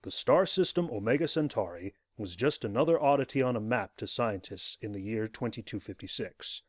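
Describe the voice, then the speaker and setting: A man narrating the scripted prologue of an audio drama in a solemn, formal, measured way. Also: mid-range voice, lively intonation.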